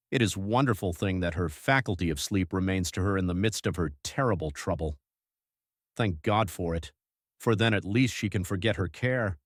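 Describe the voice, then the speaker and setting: Low-voiced, solemn troubled husband played by a man. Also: no echo, studio quality. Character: grave, troubled, devoted young husband writing his journal. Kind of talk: dramatised audiobook narration.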